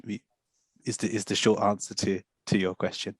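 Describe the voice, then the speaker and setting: A man's voice: fairly low pitch, little pitch movement, normal speed, slightly rough, dry recording. Young man on a headset, dry sound. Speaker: course tutor. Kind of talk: answering a question on a webinar. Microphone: headset on a video call.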